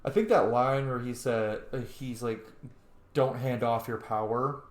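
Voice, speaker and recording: A man's voice: mid-range voice, a little slow. A man hosting a roleplay playthrough with casual, informal commentary, talking back to the characters. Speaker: gaming channel host playing a roleplay. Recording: echoey room.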